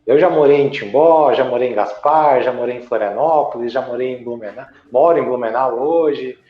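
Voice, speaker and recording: A man from Santa Catarina, Brazil, a businessman and journalist, answering interview questions in a measured, explanatory way and pausing to find his words. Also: mid-range voice, soft background music. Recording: echoey, dull lo-fi sound.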